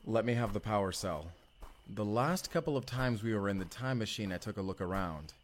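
A man's voice: lowish voice, lively intonation, a little fast, lightly acted. A man playing an urgent, determined time traveler in an audio roleplay drama, earnest and intense. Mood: tense, searching. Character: urgent, determined male time traveler, protective of his partner.

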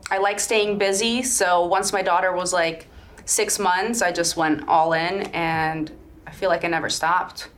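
A woman's voice: fairly low pitch, fairly even intonation, measured pace, fairly bright tone. A woman in her thirties, born and raised in Sweden, talking frankly and upbeat as a podcast guest, laughing easily. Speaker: podcast guest, real estate broker. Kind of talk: casual interview chat.

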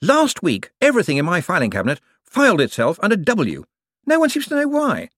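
A man reading an audiobook, voicing a nervous, evasive male wizard bureaucrat who talks in a flustered, hurried way. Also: sits high, huge pitch swings, fairly bright tone, no room sound, clean studio sound, pitched above the performer's own voice, full character voice. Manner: flustered, hurried, over-explaining. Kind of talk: audiobook character voice.

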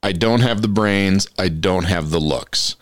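Deep-voiced, congested male podcast host. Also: a little slow, dry recording, clean studio sound. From Western Colorado. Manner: rambling storyteller, chuckles often. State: congested from allergies. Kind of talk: casual chat.

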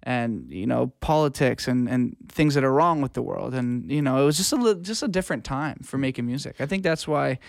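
A man's voice: mid-range voice, animated, brisk, creaky, hardly any pauses, dry recording, studio quality. A man speaking as a music producer in a casual interview, explaining reflectively in plain terms and often checking in with the listener. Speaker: music producer and audio engineer, podcast guest.

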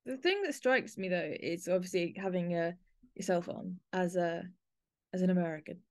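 A woman's voice: lowish voice, normal speed. A woman, speaking conversationally as the host of a podcast.

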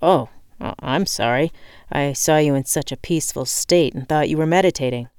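A serene, friendly tradesman, played by a woman narrator in an audiobook. He sounds earnest and cheerful, fairly low for a female voice, with a dry close recording. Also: normal speed, a little mellow, clean tone, no echo, natural voice. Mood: apologetic. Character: beaming, serene male locksmith keen on meditation. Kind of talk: acted audiobook dialogue.

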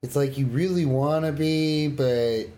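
A man's voice: fairly high pitch, steady tone, unhurried, warm tone, a little hiss or hum. A man co-hosting a podcast, chatting casually about games with crude, informal joking. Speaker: podcast co-host. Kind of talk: casual gaming chat.

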